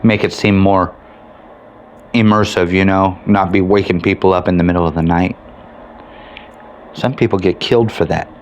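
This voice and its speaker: A man's voice: sits low, fairly even intonation, moderate pace, pauses often, dull audio. A man giving casual, laid-back commentary as he plays a video game, half talking to himself. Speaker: gamer and video host. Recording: faint background noise.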